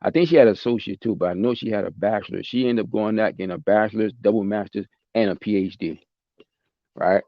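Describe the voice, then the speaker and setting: Host, male, low voice, passionate talker, dry dull lo-fi recording. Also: fast talker, dry recording. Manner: passionate, emphatic, repeats himself. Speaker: podcast host. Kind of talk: casual chat.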